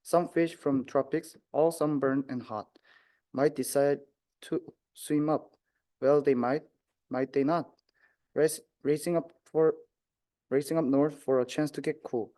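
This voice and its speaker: A man's voice: fairly high pitch, steady tone, unhurried, mellow. A young man, a student, reading a rhyming children's book aloud with occasional stumbles. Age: young adult. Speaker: student reader.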